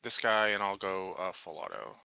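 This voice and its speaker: A man with a strikingly bright voice on the low side talks casually as a player in a tabletop game. He works out his moves tentatively. The sound is very dry. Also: normal speed, vocal fry, clear, resonant tone, no echo.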